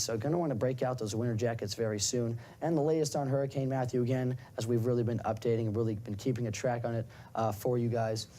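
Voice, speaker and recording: A man's voice: medium pitch, mellow. A young man delivering a broadcast weather forecast in an upbeat presenter style, walking viewers through the map. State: slightly hoarse.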